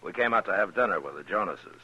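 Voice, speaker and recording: An actor with an American accent playing a calm, watchful U.S. marshal in a 1950s radio western. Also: male voice, low voice, fast, breathy edge, dry recording, heavily acted. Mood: courteous, steady. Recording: dull vintage radio recording.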